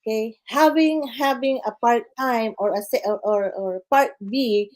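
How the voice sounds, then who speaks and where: An older woman from the Philippines with a Filipino accent, telling her life story as a guest in a casual interview, halting and full of fillers. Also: on the high side, darkish tone. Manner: halting, many "uh" fillers, says "guys" and "okay" often.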